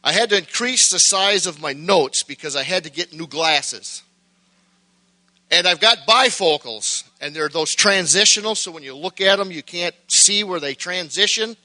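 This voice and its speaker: A middle-aged man preaching a sermon, folksy and joking at first, then building to shouted fervor. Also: high-pitched, animated, normal speed.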